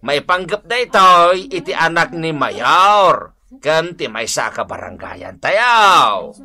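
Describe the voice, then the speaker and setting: A man's voice: on the high side, big pitch swings, slow, bright, forceful tone, heavily acted. A man acting a bossy, exasperated village captain with an Ilocano accent in a comedy radio drama, scolding and authoritative. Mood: officious. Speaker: barangay captain.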